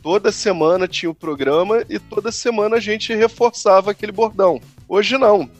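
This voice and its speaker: A man with a high-pitched voice and a Brazilian Portuguese accent talks through his ideas on a podcast. He is reflective and chatty, and builds his points slowly with examples, over faint music. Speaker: podcast co-host. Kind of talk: casual podcast discussion.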